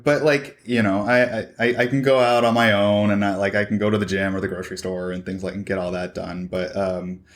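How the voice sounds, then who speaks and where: A man on a podcast talks bluntly and makes dry jokes. His voice sits low. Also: little pitch movement, a little fast, few pauses. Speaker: podcast guest. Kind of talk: casual chat.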